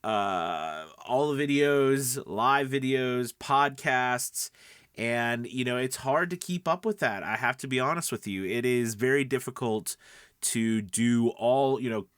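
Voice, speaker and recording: A man's voice: medium pitch, measured pace, a bit bright, smooth, no echo, studio quality. A middle-aged man hosting a voice-over coaching video podcast, talking casually and instructionally, rambling and often rephrasing himself. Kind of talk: casual instructional vlog talk.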